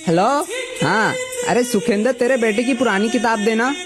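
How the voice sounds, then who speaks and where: Cartoon father, acted out: tight-fisted, mocking scold with clear music underneath. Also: medium pitch, normal speed, natural voice. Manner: dismissive, sarcastic, scolding. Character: gruff stingy mocking father of a schoolboy. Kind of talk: Hindi comedy cartoon dub. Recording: voice over background music.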